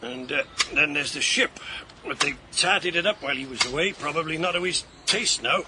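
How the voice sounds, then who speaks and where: A man's voice: fairly high pitch, noisy background, close to an everyday voice. An actor playing an older retired policeman, chatty and nostalgic, in a naturalistic crime drama. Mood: chatty, wry. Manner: chatty, unhurried, chuckling old-timer with British slang. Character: retired policeman, older man, avuncular, nostalgic, shrewd adviser.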